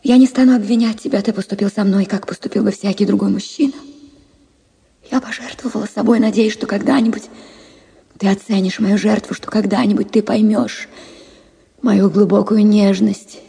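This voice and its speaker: An actress playing a heartbroken married woman reading her farewell letter to a lover in a radio drama, in a hushed, slow, confessional way. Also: mid-range voice, steady tone, gravelly, natural voice. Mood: sorrowful, resigned. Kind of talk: Russian literary radio drama, letter monologue. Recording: music under the voice. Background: music.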